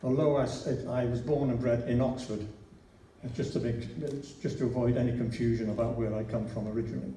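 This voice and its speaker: A man's voice: medium pitch, fairly even intonation, a little fast, warm tone. An older man in his sixties with a Liverpool accent, giving a chatty, anecdotal speech as a council candidate at a hustings, often restarting his sentences. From Liverpool, England. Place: large church hall. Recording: echoey hall, distant mic, faint hiss.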